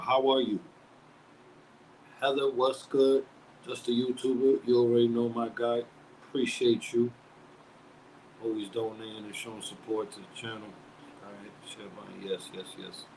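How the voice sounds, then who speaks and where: A man's voice: medium pitch, slight background noise, low-quality recording. A man hosting a live-stream talk show in casual, slangy chat, greeting viewers by name and then speaking earnestly at length. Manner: slangy and conversational, reads out viewer shout-outs.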